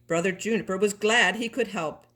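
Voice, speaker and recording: A woman's voice: mid-range voice, normal speed, a bit bright. Woman reading a children's story expressively. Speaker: storybook reader.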